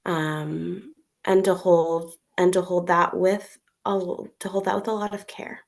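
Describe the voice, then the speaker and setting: A woman speaking as a panelist in a slow, halting, thoughtful way. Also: fairly low pitch, flat delivery, creaky. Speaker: panelist, wilderness guide. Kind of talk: panel discussion.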